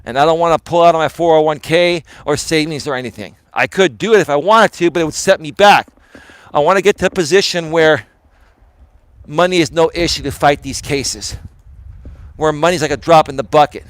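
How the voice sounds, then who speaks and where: A man live streaming outdoors on his phone while walking, talking to viewers in a rambling, emphatic way, sounding tired and a little hoarse. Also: fairly high pitch, fairly quick, dry recording. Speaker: life coach and live streamer. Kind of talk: casual live stream talk to chat. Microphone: phone camera held while walking.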